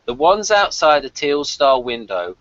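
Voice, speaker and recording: Male review co-host. Wry, higher voice, big swings in pitch, casual. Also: big pitch swings, a little slow, fairly bright tone, dry recording, highs cut off. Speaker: podcast co-host.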